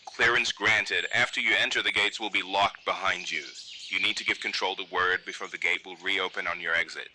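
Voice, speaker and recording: A man's voice: low-pitched, fairly even intonation, a little fast, sharp tone, a little hiss or hum, poor audio. A man playing a watchful control-room operator in a found-footage horror film, giving clipped, businesslike position reports. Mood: official, cautioning.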